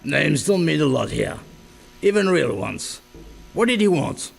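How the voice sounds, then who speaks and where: An actor playing a wary, tough, sardonic male crime boss in a video game cutscene, with a gravelly voice. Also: fairly high pitch, sing-song, measured pace, exaggerated voice. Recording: loud hum and noise behind voice.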